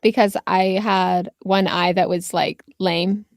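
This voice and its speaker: A woman acting as game master, narrating and bantering casually with playful, jokey drawn-out emphasis. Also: fairly low pitch, level tone, no echo, studio quality.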